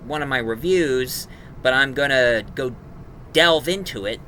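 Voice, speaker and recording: A man giving an informal, emphatic review of an audio drama, recapping it in a halting way. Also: mid-range voice, pushed and bright, slight background noise.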